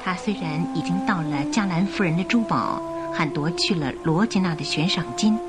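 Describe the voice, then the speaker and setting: A woman delivers scripted radio-drama narration. Her medium-pitched voice is expressive and suspenseful, moving widely in melody. Music plays clearly behind her.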